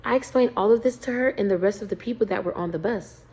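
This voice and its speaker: A young woman, a student from the United States, reading a prepared class presentation in an earnest, steady tone. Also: mid-range voice, fast talker.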